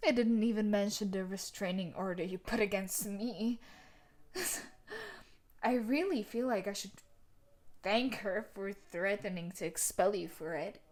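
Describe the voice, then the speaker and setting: A woman's voice: mid-range voice, lively intonation, slow pace, long pauses, darkish tone. A woman playing a sadistic, possessive young student bully in an intimate audio roleplay, taunting and menacing, slipping into whispers. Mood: gloating.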